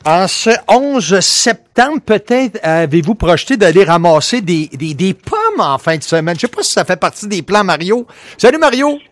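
Lively, high-voiced Quebec radio host, a man. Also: normal speed, no room sound, clean studio sound. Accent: Quebec French. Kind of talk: casual radio banter.

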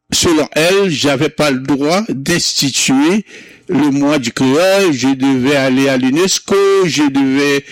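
Man, Creole native, interview guest: unhurried, fairly high voice, halting with fillers and restarts, dry close sound. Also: no echo, rough recording. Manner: slow, hesitant, many fillers and restarts. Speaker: founder of a community Creole month. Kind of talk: interview recollection.

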